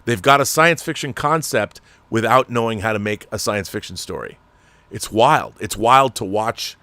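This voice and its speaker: A man's voice: on the low side, expressive, normal speed, sharp tone, dry recording. A man hosting his channel and reading viewer letters, ranting with exasperation and repeating words as he talks off the cuff. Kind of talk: unscripted commentary.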